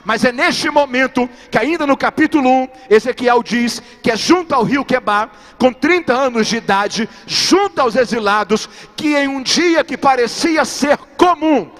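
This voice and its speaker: High-voiced preacher in a reverberant church. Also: male voice, measured pace, hardly any pauses, a bit bright. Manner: preaching emphatically with repeated rhetorical questions to the congregation. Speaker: pastor. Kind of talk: sermon. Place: large church sanctuary. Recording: long hall reverb from a live church stream.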